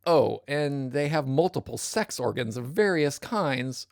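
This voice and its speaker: A weary, pompous narrator, played by an actor in a sci-fi comedy. The delivery is deadpan and wordy but lively in pitch, in a close, dry recording. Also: unhurried, gravelly, dry recording. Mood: dry disdain. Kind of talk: scripted comic narration.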